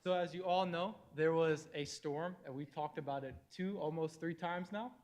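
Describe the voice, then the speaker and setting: Young male volunteer from Ohio, raised pitch, plain factual delivery. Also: moderate pace, a little mellow. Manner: plain, listing facts in order. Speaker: volunteer team member. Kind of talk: presenting at a public meeting.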